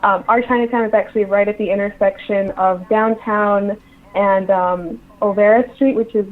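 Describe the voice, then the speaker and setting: A woman's voice: mid-range voice, fairly even intonation, normal speed. A woman speaking as an interview guest, explaining things in an informal, conversational way over a remote call. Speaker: interview guest, book publisher. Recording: tinny phone-like call line.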